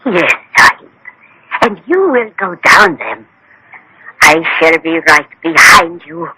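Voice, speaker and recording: An actress playing a menacing young woman in a radio drama, speaking in a deliberate, formal way. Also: low-pitched, expressive, sharp tone, a little hiss or hum, exaggerated voice, poor audio. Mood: cold, menacing. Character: cold, menacing young female accomplice with a pistol.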